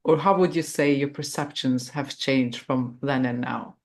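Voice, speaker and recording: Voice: mid-range voice, measured pace. An interviewer putting prepared questions in a conversational way, with tentative, careful phrasing.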